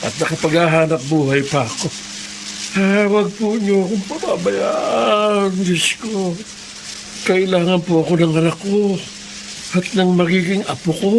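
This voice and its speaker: A man's voice: sits high, measured pace, gravelly, slight background noise, rough recording. A middle-aged man playing a humble, prayerful working father in a Tagalog radio drama, speaking in an earnest, plaintive way.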